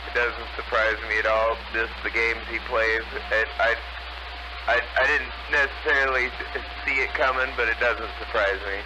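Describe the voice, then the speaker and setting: American man, average pitch, informal and slightly halting delivery, coming through a noisy CB radio. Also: steady tone. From United States. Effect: CB radio transmission. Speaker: CB radio operator. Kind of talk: casual CB radio chat.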